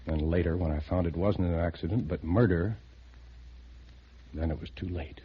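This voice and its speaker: A man's voice: deep voice, fairly even intonation, moderate pace, muffled recording, close to an everyday voice. An actor playing a grim, haunted man recounting a murder as narrator of a 1950s radio drama, on an old recording.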